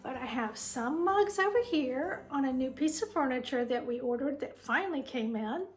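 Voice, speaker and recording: A middle-aged woman with a voice made raspy by a sinus cold, chatting fondly and rambling as she shows her collection in her home kitchen. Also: high voice, lively intonation, moderate pace. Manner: chatty, fond, meandering. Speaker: vlog host. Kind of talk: casual home tour chat.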